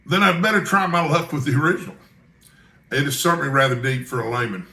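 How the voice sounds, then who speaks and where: An eager young reporter, acted out by a man reading aloud in a storybook narration. He is courteous, earnest and sure of himself. His bright voice carries clear room echo. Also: a little high, animated, fast talker. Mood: self-deprecating. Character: Malone, eager, polite, self-assured young male newspaper reporter. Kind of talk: audiobook character dialogue.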